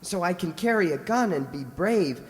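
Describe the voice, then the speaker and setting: With very lively intonation in a reverberant hall, a woman plays a little frontier boy. He is eager, naive and full of questions, with folksy grammar, in a radio drama. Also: on the low side, moderate pace, lightly acted. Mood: boastful, eager. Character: curious, naive frontier little boy, boastful younger brother. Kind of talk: radio play acting.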